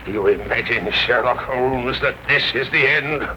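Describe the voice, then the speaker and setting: An actor playing a menacing criminal mastermind, theatrical and defiant, in a 1930s radio drama. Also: male voice, mid-range voice, a little slow, bright, forceful tone. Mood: bitter, menacing. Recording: noisy 1930s radio recording.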